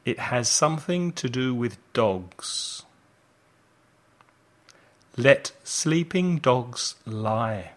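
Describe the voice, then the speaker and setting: A man teaching an English lesson as host, enunciating deliberately and didactically, with phrases set out for learners to repeat. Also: medium pitch, animated. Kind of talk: language lesson with slow, repeat-after-me delivery.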